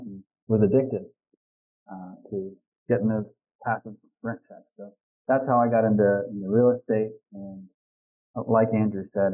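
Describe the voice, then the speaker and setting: A man from Western Pennsylvania with a lowish voice tells his story in a relaxed way, with many fillers. His audio is thin and phone-like, as if he is calling in on a poor remote line. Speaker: guest, real estate co-founder. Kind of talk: conversational interview answers.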